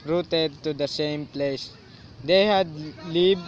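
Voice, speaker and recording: A male actor plays a brooding man in an amateur radio drama. He speaks slowly, in a somewhat high male voice. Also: dull audio, slight background noise. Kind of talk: student radio drama acting.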